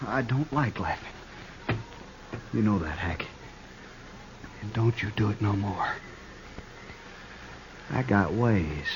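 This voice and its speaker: An actor playing a dim-witted, touchy outlaw sidekick with excitable, whiny rustic American talk in a radio Western. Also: male voice, fairly low pitch, slow pace, long pauses. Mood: touchy, wounded. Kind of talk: 1950s radio Western acting. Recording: muffled, very noisy old radio recording.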